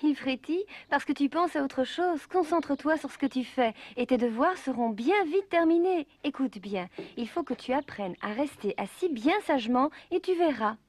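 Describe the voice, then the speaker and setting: Patient female cartoon adviser: high voice, coaxing and instructive, dry recording. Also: lively intonation, normal speed, hardly any pauses, darkish tone, no echo. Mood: patient advice. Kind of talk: animated cartoon dub acting.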